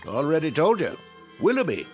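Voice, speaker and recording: Folksy, high-pitched old-time train conductor. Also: male voice, huge pitch swings, soft background music. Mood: folksy, calm. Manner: folksy, unhurried. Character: easygoing old-fashioned small-town train conductor. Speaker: actor. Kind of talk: radio drama acting.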